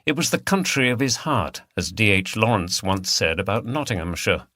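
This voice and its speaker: A man's voice: lowish voice, very animated, normal speed, sharp tone, no echo, studio quality. A man reading a mystery novel as scripted audiobook narration.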